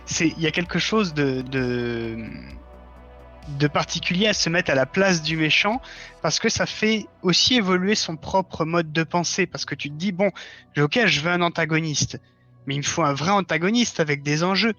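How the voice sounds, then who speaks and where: A man's voice: a little high, normal speed, pushed and bright, soft background music. A man talking as a guest in a casual, reflective conversation, explaining earnestly and rephrasing as he goes. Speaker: interview guest, fiction writer. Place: live online stream. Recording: dull lo-fi voice link.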